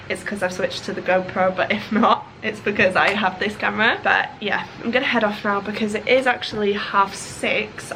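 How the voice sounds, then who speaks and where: A woman talks to her camera while nursing a cold, her voice nasal and congested. She rambles casually with fillers and little laughs, in a mid-range voice. A steady noise sits under the recording. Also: medium pitch. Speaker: vlogger. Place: holiday room indoors, then a windy beach outdoors.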